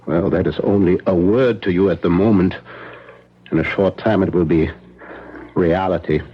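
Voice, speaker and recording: An actor playing a solemn, insistent professor who hunts vampires, speaking huskily with portentous pauses in 1970s radio drama style, on a muffled broadcast. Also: male voice, low voice, breathy edge. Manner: deliberate, portentous, emphatic repetitions and dramatic pauses.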